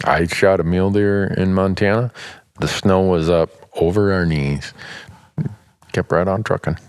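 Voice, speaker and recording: A man in his mid-fifties with an extremely deep voice chats with a friend, rambling through stories and chuckling as he goes. The sound is close and dry, with no room around it. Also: fairly even intonation, unhurried, darkish tone, clear, resonant tone, no echo. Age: 50s. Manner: chatty, storytelling, chuckles often. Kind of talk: casual chat.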